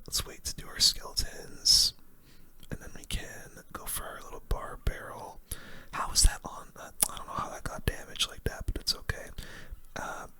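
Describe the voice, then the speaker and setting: A young man whispering relaxed, informal ASMR commentary over a game, close to the microphone. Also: moderate pace. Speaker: gaming video creator.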